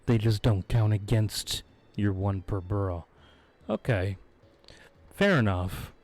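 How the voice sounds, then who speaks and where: A man streaming a video game, hoarse from losing his voice, chatting in a laid-back, rambling way with frequent chuckles, close on his microphone. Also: fairly low pitch, slow, darkish tone. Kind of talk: casual live-stream chat. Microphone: close desk microphone.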